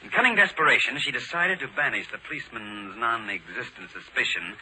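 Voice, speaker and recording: An actor playing a brisk, cerebral American detective who explains things deliberately, in 1940s radio drama style. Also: male voice, mid-range voice, lively intonation, bright, forceful tone. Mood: confident, explanatory. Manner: deliberate, expository. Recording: noisy 1940s radio recording.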